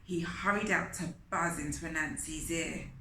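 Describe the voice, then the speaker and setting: A woman's voice: low voice, normal speed. Lady doing storytime for little kids, takes her time, roomy sound w a bit of hiss, asks lots of questions. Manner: expressive, asks the listeners questions. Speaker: children's storyteller. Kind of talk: animated read-aloud storytelling for young children. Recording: roomy echo with faint noise.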